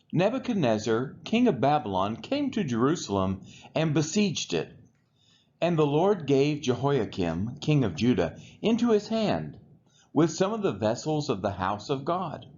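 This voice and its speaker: Man reading scripture aloud, lively intonation, muffled sound. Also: mid-range voice, slight background noise. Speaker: scripture reader.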